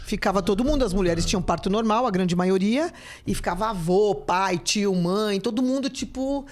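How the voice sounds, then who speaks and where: This is a woman in her fifties, a guest on a relaxed podcast. She tells stories in a chatty, animated way and jokes as she goes. Her voice sits at a middle pitch for a woman. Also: normal speed. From São Paulo, Brazil. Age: 50s. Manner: chatty, animated storyteller, joking. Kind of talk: casual podcast interview.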